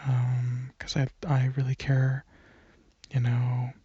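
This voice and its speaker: A man rambles casually in a soft ASMR style, very breathy and often close to a whisper. His delivery is slow and hesitant, with informal phrasing. The recording is close and slightly muffled. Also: mid-range voice, monotone, no echo. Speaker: ASMR channel creator.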